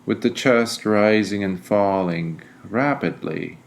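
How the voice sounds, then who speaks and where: Male meditation guide: unhurried, very slow delivery with long pauses, lowish pitch, some background noise. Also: creaky. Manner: deliberate, soothing, frequent pauses between phrases. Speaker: meditation guide, Buddhist monk.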